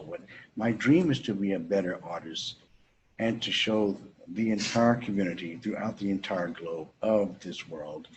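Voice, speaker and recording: Earnest, formal deep-voiced man from Kentucky. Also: a little mellow, a little hiss or hum, rough recording. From Louisville, Kentucky. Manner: earnest, formal, repeats phrases. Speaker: artist at an art studio program. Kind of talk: personal introduction at a public event.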